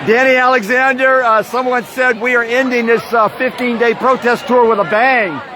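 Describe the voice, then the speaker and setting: Man, high voice, roving live commentary, halting with "uh" fillers, outdoors, loud crowd and street noise behind. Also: steady tone. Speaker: protest livestreamer. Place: outdoors on a busy university campus. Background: loud student crowd.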